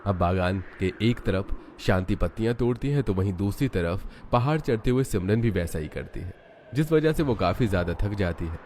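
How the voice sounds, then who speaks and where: Low-voiced male story narrator. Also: lively intonation, moderate pace, a little mellow, slight background noise.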